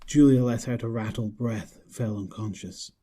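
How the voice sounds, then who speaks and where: Husky, forceful male narrator. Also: medium pitch, normal speed. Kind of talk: dramatic scripted narration.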